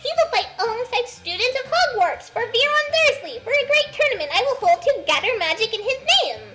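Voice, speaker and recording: A woman's voice: very high voice, sing-song, fairly quick, fairly bright tone, faint music behind, pitched above the performer's own voice, exaggerated voice. A woman voicing a boastful, pompous young demon schemer in a comic story reading. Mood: brash. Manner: pompous, brash villain declarations. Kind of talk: comic fan fiction dramatic reading.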